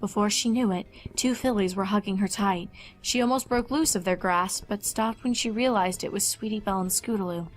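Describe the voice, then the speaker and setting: A woman's voice: medium pitch, brisk, poor audio. A woman reading fan fiction aloud as scripted story narration.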